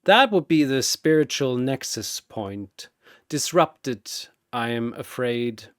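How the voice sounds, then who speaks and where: A man's voice: mid-range voice, animated, takes it slowly, no room sound, studio quality. A man voicing a cold, gloating mad scientist captain who speaks in a clinical, condescending, formal way, in a dramatic story.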